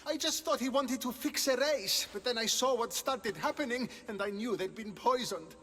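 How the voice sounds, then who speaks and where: An actor playing a frightened, cowardly underling in a video game, pleading and stammering for mercy. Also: male voice, extremely high pitch, normal speed, fairly bright tone, long reverb tail. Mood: terrified, pleading. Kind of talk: video game dramatic acting.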